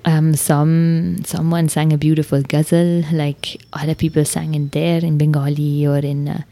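Low, mellow woman musing. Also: little pitch movement, normal speed, no room sound. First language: Swiss German. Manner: thoughtful, searching, many filler "like"s. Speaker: podcast host. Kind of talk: reflective personal monologue.

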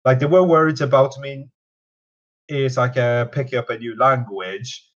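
A man's voice: medium pitch, fairly even intonation, normal speed. A young man in his twenties from Canada with a mixed Canadian and British accent, talking hesitantly with many fillers in an interview. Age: 20s. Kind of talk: casual interview. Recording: dull lo-fi sound.

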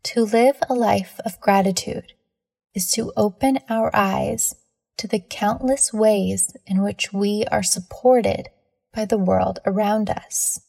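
A woman leading a scripted guided meditation in a measured, soothing manner with deliberate pauses between phrases. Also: mid-range voice, fairly even intonation, vocal fry, a little mellow.

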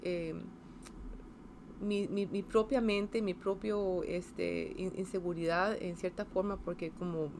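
A woman's voice: on the low side, slow pace. Costa rican lady in her 50s, chatty interview, lots of ums and restarts, faint hiss behind. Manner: hesitant, many fillers and restarts, tag questions. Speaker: engineer interviewee. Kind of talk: casual interview.

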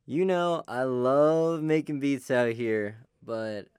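A man's voice: mid-range voice, takes it slowly, darkish tone, clear, resonant tone, no echo, studio quality, natural voice. A young man acting in an audio drama as a laid-back, slangy bro. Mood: easygoing. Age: young adult. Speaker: actor in a student audio drama.

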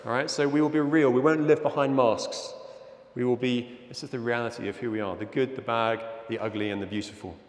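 A man's voice: mid-range voice, steady tone, brisk. A middle-aged man from Zimbabwe giving an informal, earnest sermon as a church leader, often checking that listeners agree. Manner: earnest, conversational, often seeking agreement with "yeah?". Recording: echoey hall with long reverb.

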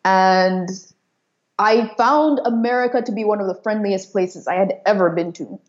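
A woman's voice: mid-range voice, moderate pace, dull audio. A woman in her late twenties from East and southern Africa, telling her own story reflectively as a podcast guest, searching for words as she talks. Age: late 20s. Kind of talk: personal storytelling in an interview.

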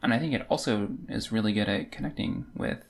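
A woman's voice: a bass voice, brisk. A woman co-hosting a podcast, discussing books in a casual, conversational way. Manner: informal, conversational. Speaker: podcast co-host. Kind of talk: casual book discussion chat.

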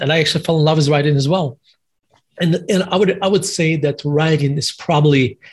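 Man, russian accent, middle-aged, husky, podcast guest, halting delivery. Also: a little high. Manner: halting, many restarts, self-deprecating. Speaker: guest author and investor. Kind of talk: interview conversation.

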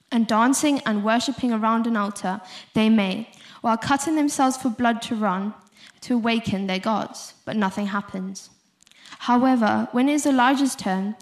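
Higher-pitched young South African woman preaching. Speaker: speaker giving a short church talk. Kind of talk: sermon, prepared talk with informal asides.

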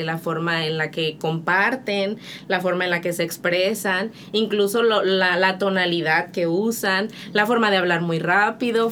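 Northern Mexican woman, mid-pitched, reflective. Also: fairly bright tone, a little hiss or hum. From Monterrey, Mexico. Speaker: missionary, interview guest. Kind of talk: conversational interview answers.

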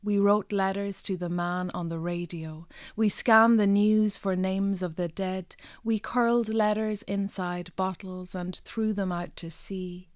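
A woman poet from Donegal in her forties with a Donegal Irish accent, Irish her first language, reading a poem and answering interview questions in a thoughtful, measured way with many pauses. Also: medium pitch, darkish tone, no room sound, studio quality. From North West Donegal, Ireland. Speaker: poet, guest.

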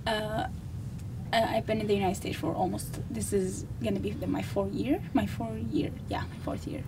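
A woman's voice: level tone, moderate pace. A teenage young woman from Iraq introducing herself hesitantly as a student guest. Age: teens. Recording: distant mic, rumbling noise.

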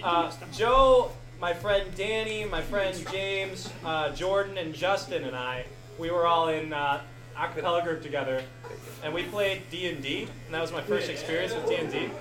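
A man's voice: on the high side, normal speed, a bit bright, reverberant, poor audio. A young man hosting a podcast, answering audience questions in a casual, rambling, self-deprecating way with frequent laughs. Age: young adult. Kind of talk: casual live Q&A.

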